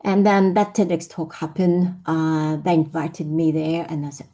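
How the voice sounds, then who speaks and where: A woman's voice: sits low, flat delivery, a little slow, dark tone. A middle-aged woman with a French accent, telling her personal story in a reflective way with many fillers and restarts. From France. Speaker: invited speaker. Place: online session.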